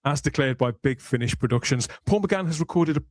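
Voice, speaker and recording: A man's voice: a little high, expressive, fairly quick, dry recording. A man hosting a podcast, moving between conversational talk and polished scripted narration with wordy, theatrical phrasing. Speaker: podcast host.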